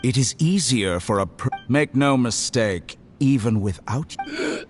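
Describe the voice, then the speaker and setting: A man playing a suave, sinister mad doctor villain in a video game, speaking in a measured, theatrical and condescending way. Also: medium pitch, lively intonation, soft background music, lightly acted. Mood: menacing, amused.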